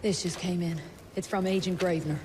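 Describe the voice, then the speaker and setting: A woman's voice: fairly low pitch, fairly even intonation, a little fast, noisy background, close to an everyday voice. An actress playing a proud, formal woman scientist in a video game, speaking in a clipped and coldly polite way. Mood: stiffly formal. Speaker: doctor and head of an agency cell. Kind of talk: video game cutscene acting. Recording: music behind the voice.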